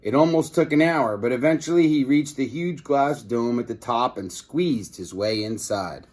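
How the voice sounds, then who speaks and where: A man's voice: mid-range voice, moderate pace, a little mellow. A man reading a children's book aloud like a teacher, with casual asides to his listeners.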